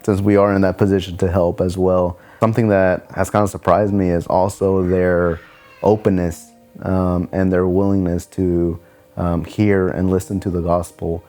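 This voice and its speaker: Deep-voiced man, casual and relaxed. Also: moderate pace. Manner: relaxed, casual, many fillers like um and kinda. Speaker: nonprofit vice president. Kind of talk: documentary interview.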